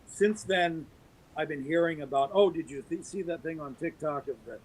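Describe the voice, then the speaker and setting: A man with a voice a little higher than most men's talks at length on a podcast. He is opinionated and easygoing, holding forth on a subject he cares about. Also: normal speed, breathy edge, low-quality recording. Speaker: podcast guest, audio drama producer. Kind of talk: casual podcast conversation.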